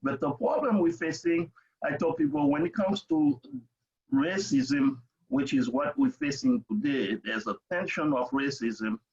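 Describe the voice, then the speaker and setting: A man's voice: on the high side, very animated, measured pace, a little mellow. An older man from Haiti with a Haitian accent, speaking emphatically as an interview guest, repeating himself and checking in as he goes. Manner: emphatic, repetitive, checks with "okay?". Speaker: parent advocate, interview guest. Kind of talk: conversational personal testimony. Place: remote webinar call. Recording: dull lo-fi video call audio.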